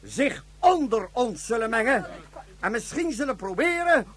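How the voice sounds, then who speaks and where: Very high-voiced solemn ruler. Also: male voice, big pitch swings, unhurried, fairly bright tone, heavily acted. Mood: stirring appeal. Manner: rhetorical, emphatic, repeats phrases. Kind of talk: radio drama oratory.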